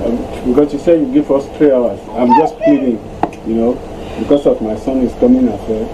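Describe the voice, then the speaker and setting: A man's voice: mid-range voice, fairly even intonation, fairly quick, highs cut off, noisy background. Hesitant, pleading man from Nigeria. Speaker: evicted tenant.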